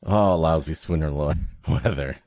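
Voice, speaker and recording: Deep-voiced man, joking podcast host. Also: measured pace, a little mellow, dry recording, clean studio sound. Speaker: podcast host reading a story aloud. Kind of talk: casual comic banter mixed with dramatic story reading.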